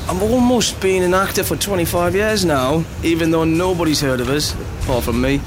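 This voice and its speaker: A man of 38 with a Geordie accent, his voice sitting a little higher than most men's. He introduces himself as a contest hopeful, joking and running himself down, with music playing underneath. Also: fairly quick. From Newcastle upon Tyne, England.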